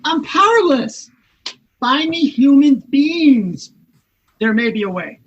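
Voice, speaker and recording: A male actor playing a weary, distraught old man in a radio drama, halting and husky. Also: very high voice, very animated, muffled recording, full character voice. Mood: agitated. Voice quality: gravelly, husky. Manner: halting, dramatic, muttering then crying out.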